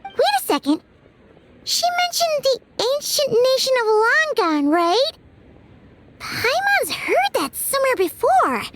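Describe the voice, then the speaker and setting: A woman voicing a small, childlike fairy companion in an anime video game, squeaky, chirpy and curious. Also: big pitch swings, slow, a bit bright, slight background noise, heavily acted. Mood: curious, excited. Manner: speaks of self in third person.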